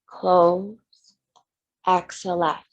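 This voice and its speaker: A woman yoga instructor leading a guided breathing meditation in short, gentle step-by-step cues. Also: lowish voice, level tone, drawn-out words, lo-fi sound.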